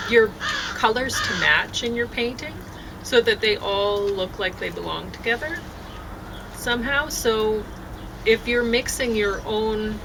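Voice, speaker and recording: A middle-aged woman chatting casually on an outdoor deck, rambling with many fillers and restarts. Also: medium pitch. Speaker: vlogger. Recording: noisy outdoor recording. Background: birds and passing traffic.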